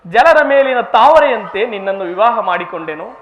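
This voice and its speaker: A man's voice: sits high, expressive, normal speed, lo-fi sound. A man playing a benevolent supreme god, speaking in formal, stately, authoritative mythological stage declamation. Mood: calm, stately. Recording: roomy echo with faint music.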